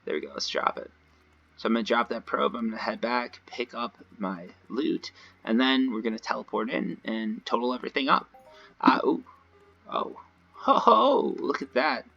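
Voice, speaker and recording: A man with a slightly hoarse voice gives casual, rambling gameplay commentary, talking directly to his viewers in a conversational way. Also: mid-range voice, sing-song, normal speed, bright, forceful tone, muffled recording, soft background music. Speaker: gaming video creator. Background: voice-over recorded over gameplay.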